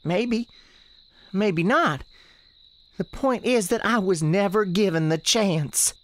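A woman's voice: medium pitch, slow pace, natural voice. A woman playing the restless, defiant ghost of a sixteen-year-old novice nun in a radio drama, earnest and plaintive, with folksy phrasing. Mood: wounded, defiant. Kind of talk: dramatic radio theatre dialogue.

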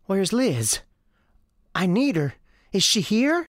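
A woman's voice: mid-range voice, sing-song, takes it slowly, no room sound, clean studio sound. A woman playing a lost, polite young girl with a Southern US accent in a radio drama, earnest and childlike. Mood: anxious, searching.

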